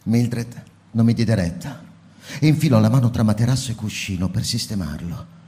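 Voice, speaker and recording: An actor playing a troubled male fireman who narrates quietly in a radio drama, subdued and hesitant. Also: on the low side, lively intonation, moderate pace, lightly acted.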